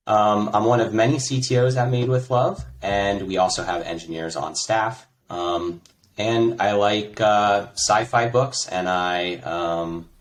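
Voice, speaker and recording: A man from the United States with a low, deep voice talks through his work in a relaxed, conversational way. He pauses on fillers as he explains. A faint hiss of noise sits under the recording. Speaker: interview guest, tech executive. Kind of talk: conversational interview.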